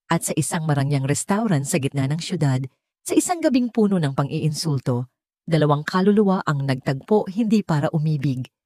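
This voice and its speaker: A woman's voice: lowish voice, warm tone, dry recording, clean studio sound. A woman with a Filipino accent reading a scripted dramatic love story as its narrator.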